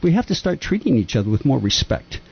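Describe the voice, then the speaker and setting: A man's voice: quick pace, creaky, slightly rough. An older man who was born in Japan, talking earnestly and at length with rambling, impassioned opinions on a radio-style talk show. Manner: earnest, rambling, lively pitch swings. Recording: dull lo-fi sound.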